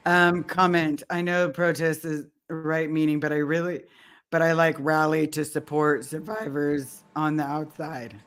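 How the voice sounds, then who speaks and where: A woman's voice: low-pitched, flat delivery, a little slow. A woman co-hosting a livestream, casually chatting and reading viewer comments aloud. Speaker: livestream co-host.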